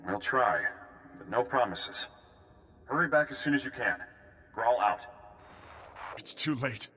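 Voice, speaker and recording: An actor playing a gruff, duty-bound prison station officer in a video game, giving brisk military briefings. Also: male voice, medium pitch, expressive, bright, forceful tone, slight background noise. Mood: tense, then grim.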